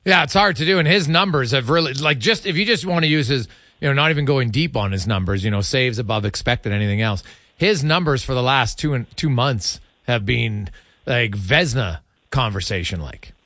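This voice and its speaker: A man hosting a sports interview, talking conversationally in halting, stat-heavy runs. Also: medium pitch, lively intonation, pushed and bright, no room sound. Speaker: sports radio host.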